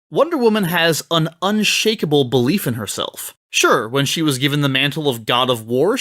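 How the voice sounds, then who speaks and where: A man with a fairly high voice and very lively intonation argues his case like a prepared comedy debater. His delivery is emphatic and wry, and the sound is close and dry. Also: no room sound. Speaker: debate podcast panelist. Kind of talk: scripted comedic debate argument.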